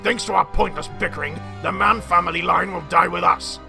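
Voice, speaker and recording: A man voicing a pompous, dim, ancient tycoon in an earnest comic dub, with a creaky old voice. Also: high voice, fairly quick, pushed and bright, soft background music, full character voice. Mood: grave, earnest. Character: pompous, feeble-minded ancient male tycoon, feuding brother.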